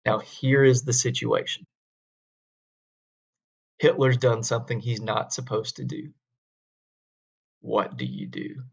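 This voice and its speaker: Gravelly man teaching history. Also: medium pitch, fairly even intonation, slow pace, pauses often. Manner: conversational, folksy storytelling with rhetorical questions. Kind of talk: classroom history lecture. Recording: dull lo-fi classroom recording.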